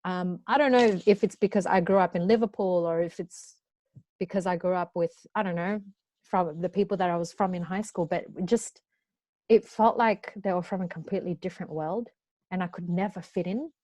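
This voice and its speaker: Young Australian woman, lowish voice, close sound. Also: fairly quick, darkish tone, no echo. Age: 20s. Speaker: podcast co-host. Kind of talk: casual group chat.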